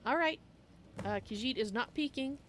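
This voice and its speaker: Woman streamer with lively, swooping intonation. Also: high-pitched, moderate pace, slight background noise. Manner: playful, musing aloud. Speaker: streamer, game roleplayer. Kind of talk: in-character roleplay narration of a video game, in third person.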